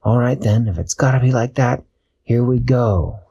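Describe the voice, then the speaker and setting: A man voicing an eager young female sea explorer in a high, squeaky voice. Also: moderate pace, vocal fry, warm tone, breathy edge, lo-fi sound. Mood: determined.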